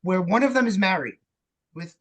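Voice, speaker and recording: A man's voice: sits high, expressive, moderate pace, fairly bright tone. A man talking candidly and casually as an interview guest, acting out little quoted asides. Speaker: interview guest, theatre director and playwright.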